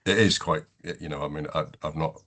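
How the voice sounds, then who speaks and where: A man with an extremely deep voice chairs an online webinar. He rambles through his links with many "you know"s and restarts, and his sound comes over a call. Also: fairly even intonation, brisk, fairly bright tone. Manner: rambling, filler-heavy, restarts. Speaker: webinar host. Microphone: video call.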